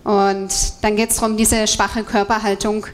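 A woman's voice: medium pitch, level tone, unhurried. A woman in her thirties speaking as a coach on stage, explanatory, giving a motivational talk to an audience through a headset mic. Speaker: co-presenter, movement coach. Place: indoor event room with seated audience. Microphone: headset microphone.

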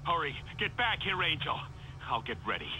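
An actor playing an earnest, protective leader of survivors in a video game, serious and commanding. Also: male voice, on the high side, sing-song, moderate pace, background noise. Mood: alarmed.

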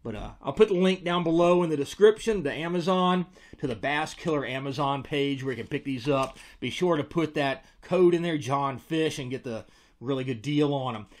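A man's voice: fairly high pitch, moderate pace. Casual middle-aged American man reviewing gear. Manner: informal, conversational. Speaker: host, fishing lure reviewer. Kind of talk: casual product review to camera. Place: small indoor room with tackle-covered walls. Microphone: desk microphone beside him.

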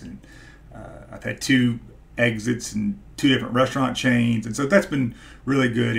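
A man in his forties with a stutter, talking casually to camera in a halting way, searching for his words. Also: mid-range voice, vocal fry, a bit bright. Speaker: YouTube host, stock investor. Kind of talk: casual vlog talk to camera. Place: small home office, indoors.

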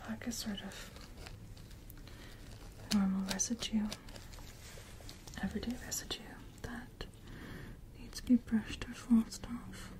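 A woman softly whispering in a breathy, gentle, reassuring way, as a caring dental hygienist in an ASMR roleplay. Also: fairly high pitch, steady tone, low-quality recording. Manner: gentle, reassuring, unhurried personal attention. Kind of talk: soft-spoken ASMR roleplay.

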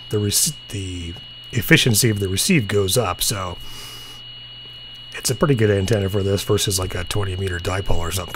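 A middle-aged man chatting casually and musing in an unhurried, low-key way as he hosts a hobby video. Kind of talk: casual chat while demonstrating radio software. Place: small indoor home room. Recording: humming, clearly noisy home recording.

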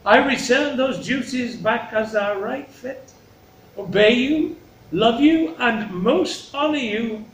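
Dutiful young princess, acted by a man, measured and sincere, high pitch, roomy recording. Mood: earnest. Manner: plain, measured, earnest. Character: honest, dutiful youngest princess refusing to flatter. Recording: roomy, distant mic, faint noise.

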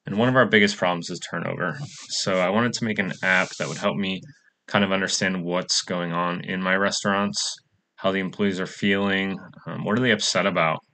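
Young male student demoing his app; low, gravelly, bright tone, casual with fillers. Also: steady tone, fairly quick, rough recording. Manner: casual, many "um" fillers, plain walkthrough. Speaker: coding bootcamp student presenting a project. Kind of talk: screen-recorded project demo.

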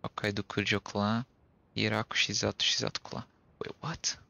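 A man's voice: fairly low pitch, level tone, moderate pace, vocal fry, sharp tone, dull audio. A young man giving casual playthrough commentary as a streamer, slipping between languages with English slang. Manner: mixes Portuguese with English slang. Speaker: gaming streamer.

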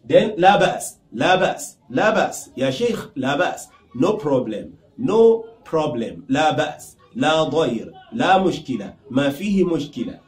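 A middle-aged Nigerian man with a Nigerian accent teaching Arabic phrases to camera, repeating each phrase in a lively way. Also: a little high, slow pace, hardly any pauses, reverberant. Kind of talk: language lesson to camera. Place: small indoor studio with whiteboard.

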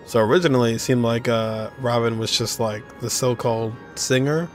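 A young man casually commenting on a video game as he watches, relaxed and chatty. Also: medium pitch, normal speed. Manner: relaxed, chatty, reacting aloud. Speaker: gaming reaction streamer. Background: game music.

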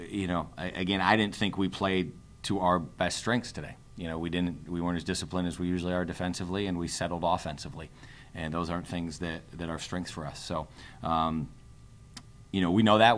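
A middle-aged man, a basketball coach, answering press conference questions in a measured, halting, analytical way. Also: sits low. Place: indoor press conference room, seated at a table. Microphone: tabletop microphone.

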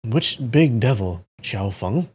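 A fearless old monk from a martial-arts audiobook, read by a narrator, grave and measured. The voice is husky and firm. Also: mid-range voice, lively intonation, full character voice.

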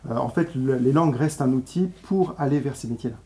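Guy explaining stuff quick in a tv studio, lots of uh's, soft dark voice, lapel mic. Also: medium pitch. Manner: explanatory, with frequent hesitations. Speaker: deputy director of a translation school. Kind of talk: studio interview answers. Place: TV studio set, seated at a table.

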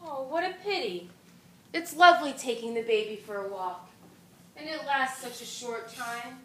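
A woman's voice: high-pitched, lively intonation, moderate pace, slight background noise. An actress playing an earnest, moralizing middle-aged mother who loves art and cooking, on a theater stage. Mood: gushing. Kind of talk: stage play acting.

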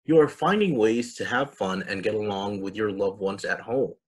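A man voicing a kind, reassuring dragon in a storybook, gentle and encouraging. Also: lowish voice, normal speed, slightly rough.

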